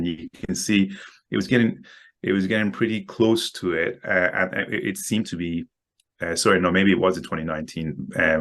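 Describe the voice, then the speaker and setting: A man with a very deep, low voice talks through his views as a guest in a relaxed interview. He speaks haltingly, tripping over repeated words and restarting sentences. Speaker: guest market analyst. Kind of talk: casual interview.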